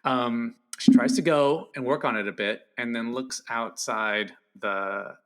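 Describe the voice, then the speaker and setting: A man with a bright, medium-pitched voice talks as a guest critic in a casual film chat. His delivery is halting, with words repeated as he works toward his point.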